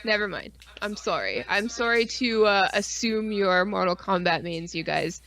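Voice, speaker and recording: A woman's voice: medium pitch, lively intonation, moderate pace, a bit bright. A woman co-hosting a casual live-stream chat, rambling with geeky enthusiasm, slang and frequent swearing. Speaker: podcast co-host.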